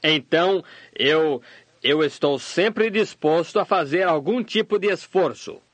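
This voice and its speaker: A man voicing a sermon translation, delivering it steadily and measured. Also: on the high side, expressive, a bit bright, no room sound. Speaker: sermon interpreter voice-over. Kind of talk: translated sermon read aloud.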